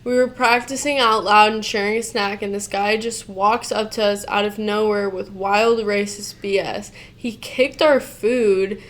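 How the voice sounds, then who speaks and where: A woman reads a post aloud at an ordinary middle pitch, in an everyday conversational voice. She keeps cutting in with blunt, casually profane reactions, and she trips over words and restarts as she reads. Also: steady tone, unhurried, few pauses. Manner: blunt, swears casually, interrupts the reading with reactions; stumbles and restarts while reading aloud. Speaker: co-host reacting to a Reddit post. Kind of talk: reading a post aloud with commentary.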